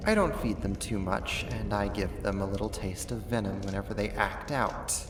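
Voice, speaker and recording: A voice actor playing a sadistic, haughty half-spider monster in an ASMR horror roleplay, talking slowly and condescendingly in a theatrical way. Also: low-pitched, music in the background, close to an everyday voice. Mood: smug, possessive.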